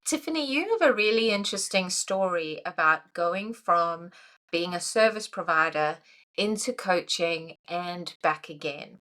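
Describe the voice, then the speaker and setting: A woman hosting a podcast interview in a somewhat low voice. She speaks in an upbeat, affirming way, drawing out her guest's story. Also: animated, a little slow, pushed and bright. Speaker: podcast host.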